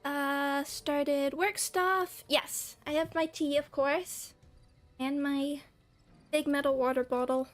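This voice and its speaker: Young woman gaming on stream, high voice, bit scratchy from a cold, giggly, music faint behind. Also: steady tone, slow pace. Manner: chatty, giggly, greets viewers by name. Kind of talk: casual live-stream chat while gaming.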